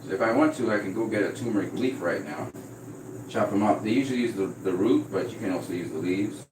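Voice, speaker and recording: A man from Trinidad chatting casually on a livestream, reading out viewer comments in a relaxed way. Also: on the low side, fast, background noise. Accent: slight American-sounding Trinidadian. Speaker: vlogger.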